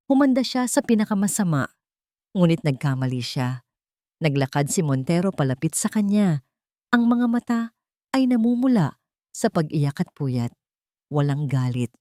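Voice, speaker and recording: A Filipino woman tells a dramatic love story in a fairly low voice. Her delivery is solemn and emotive, with deliberate phrasing. The recording is dry and close, free of room sound. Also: darkish tone, no room sound. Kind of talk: dramatic scripted romance narration.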